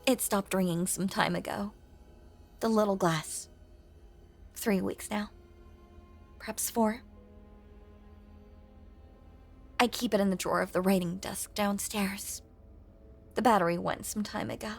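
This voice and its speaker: A woman playing a lonely, possessive female ghost in an intimate audio drama monologue, hushed and coaxing, with genteel old-fashioned phrasing and a breathy voice that often sinks toward a whisper. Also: medium pitch, fairly even intonation, measured pace, long pauses, faint music behind. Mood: wistful.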